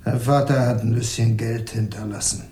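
Actor as a clipped, reserved middle-aged uncle, roomy radio-play sound. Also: male voice, medium pitch, steady tone, moderate pace, warm tone, natural voice. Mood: curt. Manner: curt, clipped sentences dropping pronouns. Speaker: uncle character. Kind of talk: 1980s radio drama acting.

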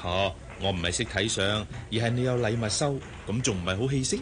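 An actor with a Hong Kong Cantonese accent playing a gentle, coaxing father and boss in a radio drama. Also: lowish voice, fairly quick, a little hiss or hum, lightly acted. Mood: friendly, persuasive. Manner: soft, coaxing. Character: gentle, persuasive male boss and young father.

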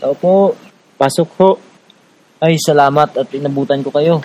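A male actor plays a polite Filipino village organizer in a radio drama, speaking with concern. His voice is a bit high for a man. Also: moderate pace, darkish tone, lightly acted, poor audio.

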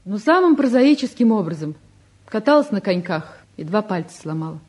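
An actress playing a spirited, frank young woman, a devoted sweetheart, in an expressive 1950s Soviet radio play style. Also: mid-range voice, normal speed. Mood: reflective.

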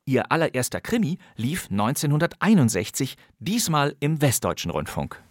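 A man's voice: fairly high pitch, sing-song, moderate pace, no room sound, clean studio sound. A man hosting a podcast, presenting vintage radio crime plays in a playful, theatrical way. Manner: playful, theatrical, relishing old thriller titles. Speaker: podcast host.

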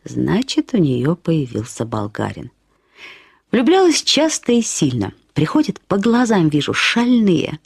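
An actress narrating a short story as a woman fondly and ironically recalling a friend, with expressive, slightly husky delivery. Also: sits low, unhurried, no room sound, clean studio sound, exaggerated voice. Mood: reminiscing, wry. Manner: acts out quoted remarks with lively intonation. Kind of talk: expressive literary storytelling, first-person reminiscence.

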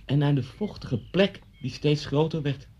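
A man's voice: fairly high pitch, unhurried, dark tone, slightly rough. An actor playing an intense, brooding man who confronts his old schoolmaster with vivid, pointed storytelling in a Dutch radio play. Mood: insistent, vivid. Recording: dull lo-fi radio play recording.

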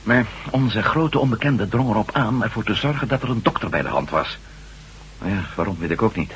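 An actor playing a determined former astronaut turned reporter in a radio drama, terse and earnest. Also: male voice, fairly low pitch, lively intonation, brisk, a bit bright, big-room sound. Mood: earnest, resolute. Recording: faintly hissy old radio drama recording.

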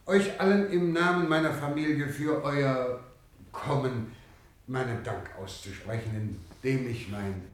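Echoey, distant male actor as pompous family patriarch, ceremonial radio-play toast, deliberate slow delivery. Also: mid-range voice, close to an everyday voice. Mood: solemn, festive. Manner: pompous, long-winded. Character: formal father hosting a party, giving a solemn toast. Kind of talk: radio play, ceremonial after-dinner speech. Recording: echoey hall, distant, faint noise.

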